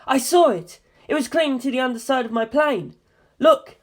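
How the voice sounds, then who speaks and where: A reader voicing an excitable young male pilot in an audiobook, loud, agitated and blurting his words out. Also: high-pitched, normal speed, voice pushed up. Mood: shouting, agitated. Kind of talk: acted dialogue in an audiobook.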